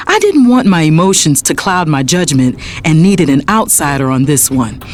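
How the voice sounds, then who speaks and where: An actress as a determined, expressive lower-voiced woman. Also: slight background noise. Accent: American. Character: determined, righteous lawyer sister telling her story. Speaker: narrator and character. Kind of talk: first-person dramatic storytelling.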